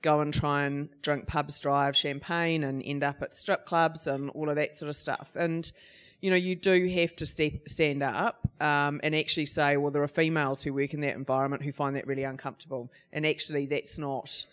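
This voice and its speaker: A woman speaking in a measured, matter-of-fact way on a live panel about workplace culture. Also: low-pitched, little pitch movement, smooth.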